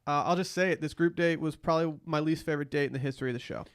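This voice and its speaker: An American man with a somewhat high voice co-hosts a casual show. He gives his opinions bluntly in easy conversation. The recording is dry and free of echo. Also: racing, clear, resonant tone. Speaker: podcast co-host. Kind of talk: casual chat, opinionated recap.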